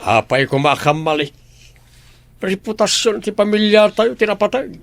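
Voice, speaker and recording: A man's voice: sits high, expressive, normal speed, gravelly, no room sound. A man acting an indignant, proud older father with a Filipino accent in an Ilocano radio drama. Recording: dry studio with music underneath.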